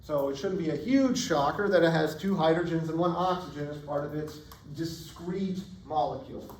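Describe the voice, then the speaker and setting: Hoarse male chemistry lecturer in echoey hall. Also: fairly high pitch, normal speed, darkish tone. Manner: casual, checks in often with "right?". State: hoarse, losing his voice. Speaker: chemistry instructor. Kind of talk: classroom lecture. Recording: echoey lecture hall, distant mic, faint room noise.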